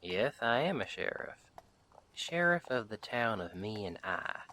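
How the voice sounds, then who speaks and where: A low-voiced, easygoing frontier sheriff played by a man, drifting and dryly humorous. He speaks straight to the listener with folksy, lively swings in pitch. There is faint noise behind the voice. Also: heavily acted, low-quality recording. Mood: wry, chatty. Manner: folksy, unhurried, wry, chatty. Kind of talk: audio roleplay, speaking directly to the listener.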